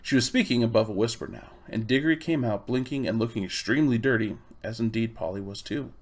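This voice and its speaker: A man reading a children's storybook aloud in expressive, measured phrases. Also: medium pitch. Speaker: audiobook reader. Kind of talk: storybook narration.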